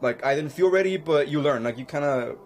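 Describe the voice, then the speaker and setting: Halting young man on a podcast, faint hiss. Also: fairly high pitch. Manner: halting, repeats himself, says dude. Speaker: podcast co-host. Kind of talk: casual chat.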